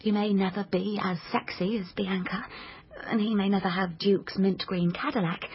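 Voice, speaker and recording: A woman's voice: medium pitch, highs cut off, a little hiss or hum, natural voice. An actress playing a young woman who narrates her own adventure in a radio drama, confiding and dramatic. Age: young adult. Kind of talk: scripted first-person radio drama narration.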